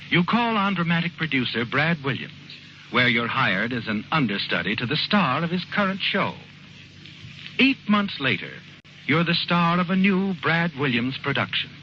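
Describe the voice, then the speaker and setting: Guy acting as knowing ominous radio mystery narrator, swings his pitch around, old noisy recording. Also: muffled recording, close to an everyday voice. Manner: insinuating, addresses the listener as the guilty one. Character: knowing, ominous male narrator of a crime tale. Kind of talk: 1940s radio acting, second-person dramatic narration.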